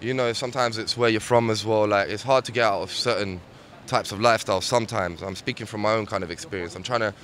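A man's voice: lowish voice, fairly even intonation, fast talker, a bit bright. A man in his thirties speaks in a laid-back, reflective way into a handheld mic on a city street. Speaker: interviewee. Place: busy city street outdoors. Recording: outdoor street noise behind.

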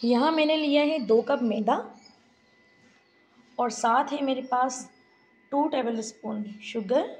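A woman, hosting a home cooking tutorial and calmly giving the viewer step-by-step instructions. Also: high voice, slow pace, pauses often. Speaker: cooking channel host. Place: home kitchen.

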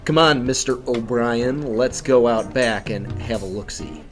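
An actor playing a dry, defiant speakeasy owner in an old-time radio drama. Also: male voice, medium pitch, unhurried, soft background music, lightly acted. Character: stubborn speakeasy owner, grown man, wry.

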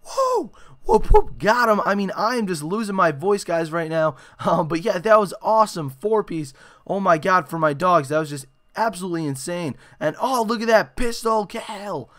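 A young man with a hoarse, raspy voice, giving excitable gameplay commentary full of "you know" and "uh". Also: sits high, moderate pace. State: hoarse after a concert. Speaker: gaming channel host. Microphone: desktop microphone.

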